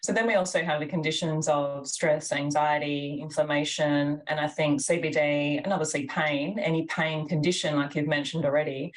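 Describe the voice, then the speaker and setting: Low, croaky woman host, a bit distant. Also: steady tone, moderate pace, few pauses. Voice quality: slightly croaky. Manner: enthusiastic, affirming, lots of "yeah" and "mm". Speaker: podcast host. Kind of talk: interview conversation.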